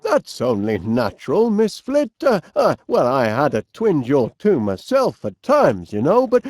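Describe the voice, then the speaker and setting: A man's voice: very high voice, dry recording, studio quality, full character voice. A man voicing a cheerful, fussy, bumbling middle-aged inventor with a Northern English accent and a chummy, dithering manner, for a comedy video game. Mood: boastful, sheepish. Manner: chummy, dithering, expressive, drawn-out delivery. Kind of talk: animated comedy game voice acting.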